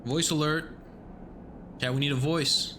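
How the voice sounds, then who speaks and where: A man's voice: on the high side, fairly even intonation, a little slow, pushed and bright, slight background noise. A man streaming a game, reading the text aloud and chatting in a rambling, self-mocking way, with some swearing.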